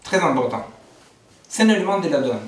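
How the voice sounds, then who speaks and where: A man's voice: on the high side, big pitch swings, brisk. Man lecturing in a roomy hall. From Argentina. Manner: digressive, frequent fillers and rhetorical "n'est-ce pas". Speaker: seminar lecturer. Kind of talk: philosophy seminar lecture. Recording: roomy, distant mic.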